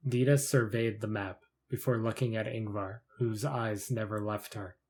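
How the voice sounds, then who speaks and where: A man's voice: on the low side, steady tone, moderate pace, darkish tone. A man reading scripted narration for a fantasy short story in the third person.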